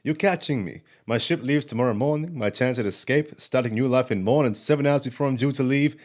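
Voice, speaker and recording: An actor playing a roguish, cheeky elf thief in an audio drama, sardonic and theatrical in manner. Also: male voice, mid-range voice, brisk, darkish tone, lightly acted. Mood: annoyed, pleading.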